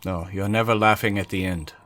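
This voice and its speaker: A man's voice: sits low, fairly even intonation, fairly quick, a bit bright, slight background noise. A man playing a blunt outsider from the wilds in a fantasy tabletop roleplay, terse and grim.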